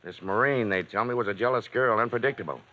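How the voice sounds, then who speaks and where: A sly police detective who probes with casual menace. His voice sits low. The recording is dull and dated. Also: fast talker, sharp tone. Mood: probing. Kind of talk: 1940s radio acting. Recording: dull old radio recording.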